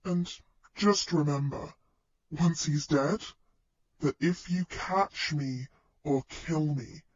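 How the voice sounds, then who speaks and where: A man playing a young male villain mastermind who taunts in a slow, theatrical, menacing way on a dull recording. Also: mid-range voice, darkish tone. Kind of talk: podfic villain speech. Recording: dull lo-fi sound.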